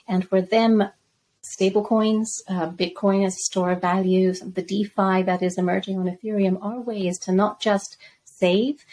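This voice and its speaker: A middle-aged woman who grew up in Zambia, giving measured, articulate answers as an interview guest. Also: on the low side, darkish tone, slight background noise, poor audio. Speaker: guest crypto researcher.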